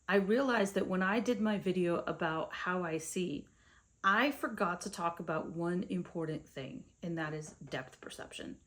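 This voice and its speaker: A young woman from India with an American accent, telling her own story to the camera in a casual, chatty way. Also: lowish voice. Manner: conversational, with fillers and asides to the viewer. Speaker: vlogger.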